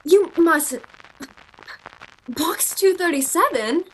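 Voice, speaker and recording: A woman's voice: very high voice, expressive, takes it slowly, a little hiss or hum, exaggerated voice, poor audio. A woman playing a prim, flustered young shop clerk in an old-time radio romance. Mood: flustered, astonished.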